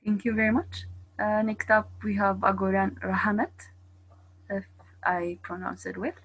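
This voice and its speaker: A woman's voice: mid-range voice, flat delivery, normal speed, a bit bright, husky, highs cut off. A woman chairing a formal online meeting, introducing each participant in turn. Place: online video call. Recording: online call with faint noise, clicks.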